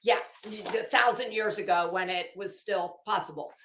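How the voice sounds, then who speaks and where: A woman's voice: medium pitch, moderate pace, a bit bright, a little hiss or hum. A middle-aged woman giving casual, opinionated commentary in a sassy, sarcastic manner, drawing out words for dramatic emphasis. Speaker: host of an online commentary show. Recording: roomy, echoing sound.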